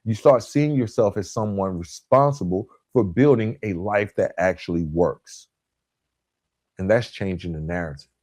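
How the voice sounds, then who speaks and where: A man hosting a motivational podcast, speaking earnestly and emphatically in short, deliberate phrases with repetition. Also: lowish voice, darkish tone. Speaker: podcast host. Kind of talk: motivational self-improvement podcast monologue.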